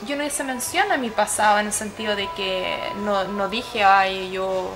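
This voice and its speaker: A woman's voice: medium pitch, normal speed, music in the background, poor audio. A woman with a Chilean accent telling her personal story as an interviewee, reflective and often searching for words. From Sweden and Chile.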